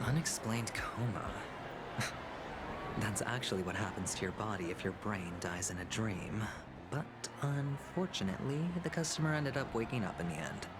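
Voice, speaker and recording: An actor playing a suave, reckless young gambler in a video game, smooth-talking and wryly theatrical. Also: male voice, on the low side, expressive, normal speed, very noisy, natural voice. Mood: brooding, ironic. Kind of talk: video game character acting. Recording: game music behind voice.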